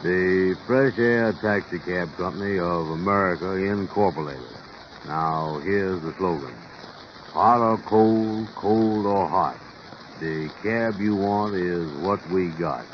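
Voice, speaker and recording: On a muffled, faintly noisy vintage recording, a man performs a pompous, scheming cab company boss. His low voice rolls out folksy, ungrammatical lines in old radio comedy style. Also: slow. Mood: self-important. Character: scheming, self-important male taxi company boss. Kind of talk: 1930s radio comedy acting.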